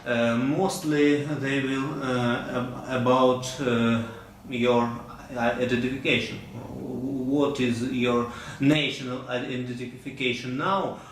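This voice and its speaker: A male interviewer asks his questions slowly, with frequent hesitations and non-native English phrasing. His voice sits at an ordinary pitch. He sounds far from the mic in a heavily echoing room with a faint hum behind. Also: steady tone. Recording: very echoey room, distant mic, faint ambience.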